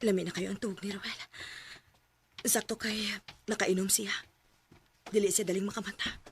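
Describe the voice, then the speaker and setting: An actress playing a long-suffering young wife and dutiful daughter in a Filipino radio drama, emotive and plaintive, with a Cebuano accent. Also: female voice, mid-range voice, fairly even intonation, normal speed, slight background noise, lightly acted. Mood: plaintive, inward.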